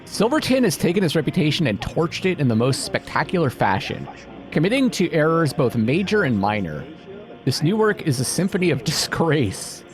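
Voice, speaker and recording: A man's voice: fairly high pitch, sing-song, normal speed. A man giving casual let's-play commentary, muttering and thinking aloud as he goes and reading bits out. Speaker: gaming video host. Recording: music behind the voice. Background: game music.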